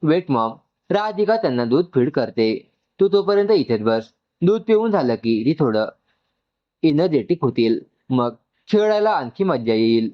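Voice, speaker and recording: A man voicing an excitable, doting young new father in a story, gushing and mixing in English. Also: a little high, moderate pace, muffled recording. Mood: eager, insistent.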